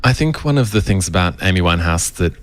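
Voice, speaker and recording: A man's voice: low voice, lively intonation, fast talker. A man, an arranger explaining his approach to songs in an earnest, thoughtful interview. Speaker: musical arranger.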